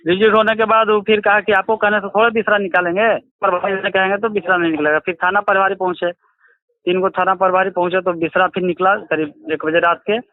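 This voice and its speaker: बिहारी लहजे वाला आदमी, पतली फ़ोन आवाज़. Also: male voice, sits high, fairly even intonation, fast, dry recording. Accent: Bihari. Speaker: interviewee, relative of a crime victim. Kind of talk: recounting events in a phone interview. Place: phone call. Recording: tinny, choppy phone line.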